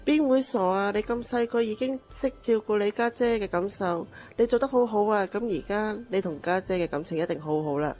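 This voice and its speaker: A woman's voice: mid-range voice, a little fast, hardly any pauses, a little mellow, faint music behind, lightly acted. A woman playing a grateful, kindly mother of a young daughter in a Cantonese radio drama. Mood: warm, encouraging.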